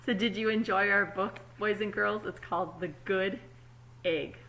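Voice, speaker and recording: A woman, apparently a teacher, reads a picture book aloud to children expressively, pausing to explain words simply and check in with her young listeners. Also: medium pitch, steady tone, pushed and bright, poor audio. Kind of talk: children's storybook read-aloud with asides.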